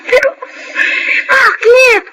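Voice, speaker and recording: A woman's voice: very high voice, fairly even intonation, very slow, full character voice, rough recording. An actress playing an alarmed female confidante in a Tagalog radio drama, scolding and exclamatory, with a Filipino accent. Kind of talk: Tagalog audio drama acting. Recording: loud background noise.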